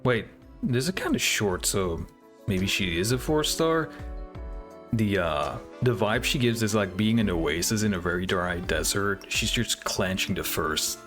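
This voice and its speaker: A man with a low voice gives off-the-cuff commentary as a reaction video host. He thinks aloud informally and is easily amazed, with some music behind him. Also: animated, a little slow, fairly bright tone.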